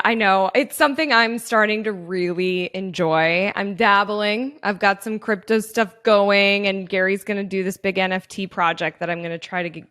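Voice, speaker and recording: An upbeat woman hosts a podcast and chats easily with her guest. She talks in a lively, enthusiastic way and laughs often, with a voice of ordinary pitch for a woman. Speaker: podcast host. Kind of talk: casual interview chat.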